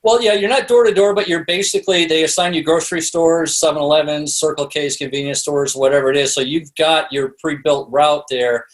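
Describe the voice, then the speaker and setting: A man's voice: fairly high pitch, steady tone, brisk, few pauses, rough recording. A middle-aged man speaking as an interview guest, telling stories in a casual, chatty, rambling way. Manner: chatty, rambling, peppered with "you know". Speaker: podcast guest, sales expert and author. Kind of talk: casual anecdotal interview storytelling.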